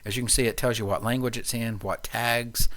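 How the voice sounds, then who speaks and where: A man presenting an informal, unscripted software tutorial, explaining things step by step. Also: mid-range voice, fairly even intonation, brisk. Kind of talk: informal screencast walkthrough.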